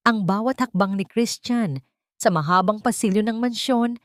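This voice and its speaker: A woman's voice: mid-range voice, normal speed, no echo, studio quality. A woman with a Filipino accent narrating a scripted dramatic story as a storyteller.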